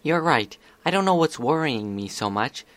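An anxious young filly acted by a man, pitched up from his usual voice. She sounds downcast and unsure, a storybook pony child in a very dry, close recording. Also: animated, normal speed, a bit bright, dry recording. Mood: uneasy, evasive.

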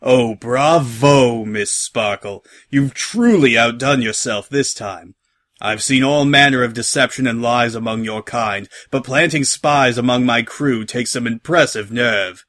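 A man's voice: medium pitch, a bit bright, close to an everyday voice. Man voicing a malicious, grandiose sky-ship captain. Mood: mocking malice. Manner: taunting, drawn-out, grandiose.

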